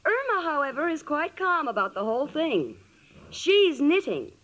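An actress playing a sensible, exasperated young woman in an old-time radio comedy, wry and brisk in delivery. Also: high-pitched, sing-song, a bit bright, dull audio. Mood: wry narrating. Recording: old radio recording, faint noise.

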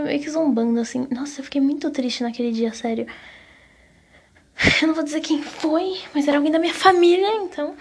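Young Brazilian woman, high, slightly husky voice. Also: a little mellow. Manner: rambling, self-conscious, stumbles over words. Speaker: podcast host. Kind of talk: casual confessional solo chat.

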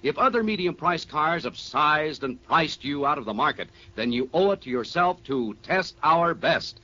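A man's voice: fairly high pitch, sharp tone. An American man reading a 1950s radio advertisement in a polished, emphatic announcer style. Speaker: commercial announcer. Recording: dull vintage radio broadcast.

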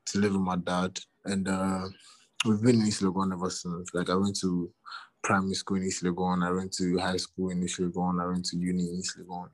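Low flat-voiced Ghanaian young man. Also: brisk, no room sound. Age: 20s. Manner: relaxed, repeats words and says "like" often. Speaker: musician guest. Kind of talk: casual interview chat.

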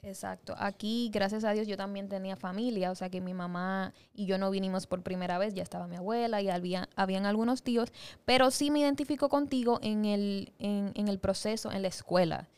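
A woman's voice: medium pitch, brisk, a little mellow, clean tone. Dominican woman with Puerto Rican-tinged accent. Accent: Dominican Spanish mixed with Puerto Rican. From Dominican Republic. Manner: earnest storytelling, emphatic. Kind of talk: casual conversation.

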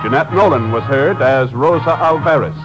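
A man's voice: medium pitch, moderate pace, dull audio. Formal male radio announcer over music. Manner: formal, polished. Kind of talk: 1950s scripted announcing and credits. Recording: vintage radio broadcast with music.

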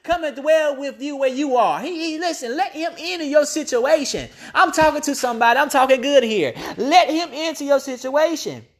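High-voiced woman preaching with emphatic repetition. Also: expressive, moderate pace, hardly any pauses. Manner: emphatic, repeats phrases for build-up. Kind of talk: impassioned extemporaneous sermon.

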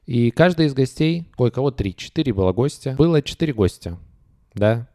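A man's voice: medium pitch, expressive, a little fast, dark tone, no room sound, clean studio sound. A man hosting a comedy podcast, announcing contest prizes in a playful, mock-grand way and reading out notes with asides. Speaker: podcast host.

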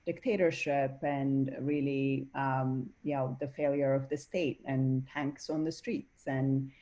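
A woman's voice: extremely low pitch, fairly even intonation, slow pace, dark tone. A woman from Ethiopia, a guest in an interview, speaking thoughtfully with many fillers. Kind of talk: reflective interview talk.

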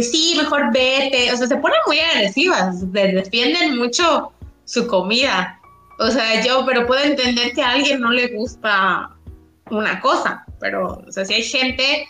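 A woman's voice: a little high, pushed and bright. Woman from Yucatán, echoey room. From Mexico, Yucatán. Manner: rambling, many restarts and fillers. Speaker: interviewee. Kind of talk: casual conversational interview.

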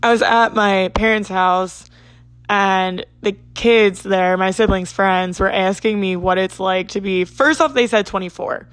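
Woman, 20s, from south jersey, podcast host, hoarse, raspy, chatty rambling, dry sound. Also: mid-range voice, a little slow, a bit bright, no room sound, rough recording. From South Jersey, United States. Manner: rambling, lots of "like" and asides. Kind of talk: casual chatty monologue.

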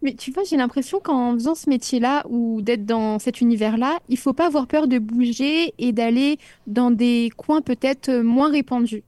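A woman's voice: on the high side, a little mellow, no echo. A woman from the Paris suburbs, a radio host, chatting casually on air and jumping in easily. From Paris suburbs, France. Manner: chatty, quick to jump in, conversational. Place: radio studio.

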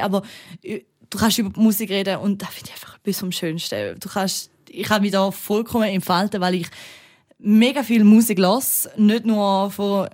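Lachende Frau mit St. Galler Dialekt. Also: female voice, medium pitch, darkish tone. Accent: St. Gallen Swiss German. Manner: enthusiastic, laughs often. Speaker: radio presenter. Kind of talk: casual podcast chat.

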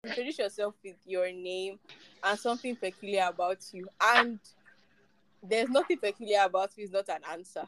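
A woman's voice: medium pitch, over music, rough recording. Playful young woman podcast host. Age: young adult. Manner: playful, teasing, quick to laugh. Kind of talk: casual chat.

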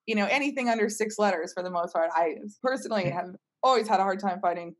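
A woman's voice: medium pitch, fast. A woman speaking casually as a startup founder in an interview, telling stories and voicing her own past reactions. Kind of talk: casual conversational storytelling.

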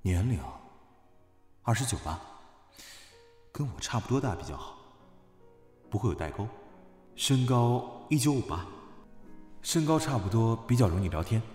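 An actor playing a young male general around thirty in a modern audio drama, familiar and musing, with a breathy voice. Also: lowish voice, big pitch swings, normal speed, pauses often, a little mellow, faint music behind. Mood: musing, troubled.

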